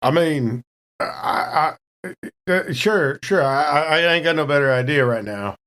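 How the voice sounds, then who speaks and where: A man's voice: on the high side, expressive, measured pace, fairly bright tone. A man playing a flustered, folksy spaceship crew member who rambles hesitantly in a tabletop game. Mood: uneasy, flustered. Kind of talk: tabletop game role-play.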